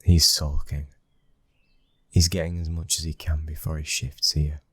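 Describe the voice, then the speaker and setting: A man with a British accent acting as a brooding, protective young mafia boss's son in an intimate ASMR boyfriend roleplay, speaking in a husky, hushed, intense way that often nears a whisper. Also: extremely low pitch, flat delivery, a little slow, no room sound, studio quality, full character voice. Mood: firm, protective.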